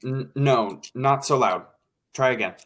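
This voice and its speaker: A man's voice: mid-range voice, measured pace, fairly bright tone. A man playing a bossy, impatient radio show producer in a 1940s-style radio play. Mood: instructing. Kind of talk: old-time 1940s radio play acting.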